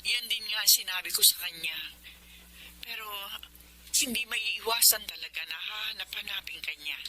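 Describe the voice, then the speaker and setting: A devout, caring nun at an orphanage in a Filipino radio drama. She has a mid-pitched voice and a Filipino accent. Her delivery is motherly and consoling, full of gentle advice. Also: moderate pace, a little hiss or hum, poor audio. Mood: fond, concerned. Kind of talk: Tagalog radio drama acting.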